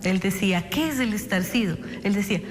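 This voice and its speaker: A woman talks informally in an interview. Her mid-range voice rings with long reverb, and music plays clearly behind it. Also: mid-range voice, a little fast. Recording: long reverberant hall echo.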